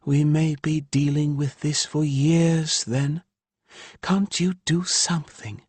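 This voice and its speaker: A man's voice: on the high side, fairly even intonation, a little mellow, breathy edge, no room sound, pitched above the performer's own voice. A man voicing an irritated, exasperated mother in an audiobook, with an edgy, emphatic delivery. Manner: edgy, drawn-out emphatic speech. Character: irritated, exasperated wife and mother, female healer.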